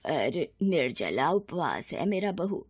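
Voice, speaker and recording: A woman's voice: low-pitched, very animated, takes it slowly, slightly rough, dry recording, studio quality, voice pushed down, full character voice. A woman as a haughty Indian-storybook mother-in-law. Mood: weak, remorseful. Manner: sarcastic, scolding. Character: haughty, scornful middle-aged mother-in-law, later remorseful.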